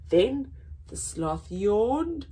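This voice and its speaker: A young woman hosting a children's story time, reading aloud in an animated, deliberate way with drawn-out emphasis. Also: medium pitch. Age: young adult. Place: small indoor room with painted backdrop.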